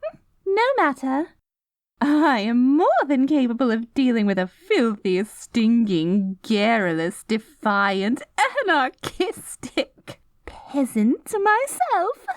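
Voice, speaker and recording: A haughty fantasy queen in a high, widely swooping voice. Her diction is pompous, mock-archaic and theatrical, delivered unhurriedly. She sounds vain and cruel beneath her righteous airs, as in a fantasy audio roleplay. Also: animated, slow pace, no echo, clean studio sound. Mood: haughty, menacing.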